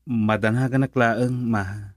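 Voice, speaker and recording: A man's voice: medium pitch, fairly even intonation, slow pace, dry recording, clean studio sound, natural voice. A male actor with a Filipino accent playing an earnest young man in love, hesitant and pleading, in a romantic radio drama. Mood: pleading, worried.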